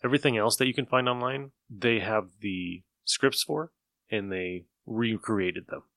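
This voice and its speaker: A man's voice: fairly low pitch, a little slow, fairly bright tone, no echo. A man hosting a podcast, chatting casually as he introduces old radio shows. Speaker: podcast host.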